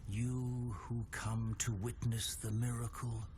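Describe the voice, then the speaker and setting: An actor playing a solemn, sorrowful undead old confessor in a dark fantasy game, speaking in a grave, archaic, deliberate manner. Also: male voice, medium pitch, flat delivery, slight background noise, natural voice. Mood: mournful.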